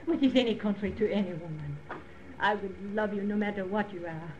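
A woman playing a lovesick young French secret agent, pleading in a breathy, intimate way, in a 1940s radio drama. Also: mid-range voice, brisk, darkish tone, close to an everyday voice. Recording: dull old radio recording with hiss.

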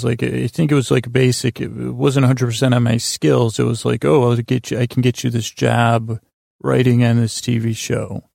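An American man with a very creaky, gravelly voice and a mellow, dark tone. He hosts a podcast as a rambling storyteller, halting and circling back on himself with self-deprecating asides. The sound is dry and close. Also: mid-range voice, dry recording. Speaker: podcast host. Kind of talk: rambling, meandering bedtime storytelling monologue.

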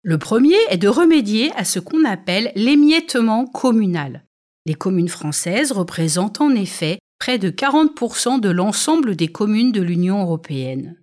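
A woman podcast presenter with a French accent from France reads a scripted explainer. Her intonation is very lively and varied, and her delivery is didactic and clearly structured. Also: mid-range voice, moderate pace. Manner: didactic, structured, poses questions then answers them.

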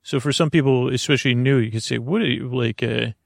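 A man with a gravelly, creaky voice talks through a meandering bedtime podcast monologue. He starts, stops and circles back, gently putting himself down as he goes. The sound is close and dry, with no room around it. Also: mid-range voice, no echo. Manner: meandering, halting, self-deprecating, trails off mid-sentence. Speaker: podcast host.

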